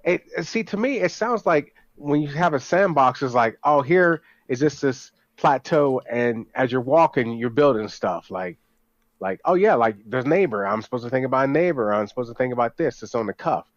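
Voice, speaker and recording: Man, mid pitch, dry but dull sound. Casual panelist who interjects and repeats himself. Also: animated, normal speed, no room sound. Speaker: podcast panelist. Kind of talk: casual chat. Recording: dull lo-fi audio.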